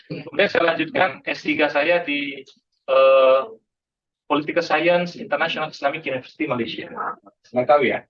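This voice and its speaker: Indonesian male lecturer, dull lo-fi sound. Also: mid-range voice. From Bengkulu, Indonesia. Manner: conversational, frequent checking tags like "ya". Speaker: university lecturer. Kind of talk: informal lecture to students, mixing in English phrases.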